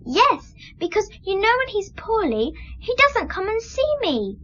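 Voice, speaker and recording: Little girl for radio drama: very high, animated, innocent and candid. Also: measured pace, lo-fi sound, exaggerated voice. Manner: childlike, candid, simple phrasing. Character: innocent, chatty little girl, bedridden and trusting.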